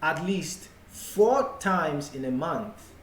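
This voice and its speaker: Male trading tutor, Ghanaian accent, fairly high voice, unhurried and deliberate delivery, explaining off the cuff. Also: animated. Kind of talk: explanatory tutorial talk, unscripted. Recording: echoey room with faint background noise.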